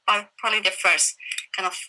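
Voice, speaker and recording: A woman with a Chilean Spanish accent, a professor explaining her research in an interview over a video call, phrasing her answers in a halting, reflective way. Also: mid-range voice, steady tone, bright, forceful tone, poor audio. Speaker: interviewed professor of computational chemistry.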